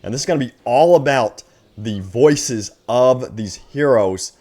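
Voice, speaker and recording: A man's voice: medium pitch, a little slow. A man hosting a gaming news video, speaking enthusiastically to viewers and reading out announcements. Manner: excited, addresses viewers as guys. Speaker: gaming news channel host.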